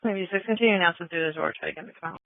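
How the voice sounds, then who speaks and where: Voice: mid-range voice, very fast, gravelly, phone-quality sound, over music. A police dispatcher on a scanner radio, relaying and repeating messages in a clipped, businesslike way with radio codes. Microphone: two-way radio.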